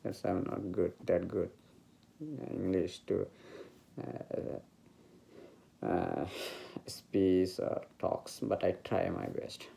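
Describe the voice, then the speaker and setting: Bengali-accented guy giving a meditation talk, super gravelly and dark, stops a lot to hunt for words. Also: deep voice, little pitch movement, slight background noise. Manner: halting, searching for words in English. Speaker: meditation teacher. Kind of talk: informal spiritual talk.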